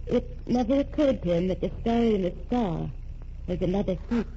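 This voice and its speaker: An actress playing a sharp young woman reporter who calmly accuses a killer, in a 1940s radio drama. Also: medium pitch, close to an everyday voice. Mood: explaining. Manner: cool, accusing, deliberate. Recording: dull old radio recording with faint hiss.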